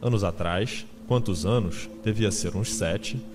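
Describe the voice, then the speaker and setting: A man's voice: lowish voice, a little slow. A man narrating a literary audiobook in a dramatized style. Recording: noisy ambient sound-effects bed. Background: ambient sound effects.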